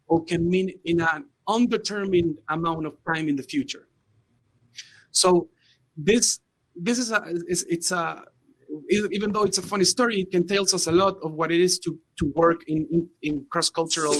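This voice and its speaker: A man from Chile, moderating a panel in a formal, halting way that often repeats words. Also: fairly high pitch, a bit bright, rough recording. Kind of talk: moderating an online panel discussion.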